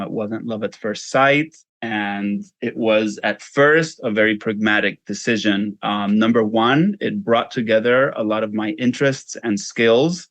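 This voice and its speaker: A man's voice: on the low side, fairly bright tone, dry recording. Man with a Spanish accent, dry close sound. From Spain. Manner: informal, self-deprecating, frequent "you know". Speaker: interview guest, fundraising consultant. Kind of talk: conversational interview answers.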